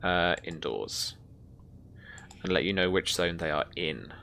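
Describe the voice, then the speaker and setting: A man with a very low, creaky voice narrates a tabletop roleplaying game as game master. His delivery is halting and thoughtful as he describes scenes and works through rules. The recording carries some background noise. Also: animated, sharp tone.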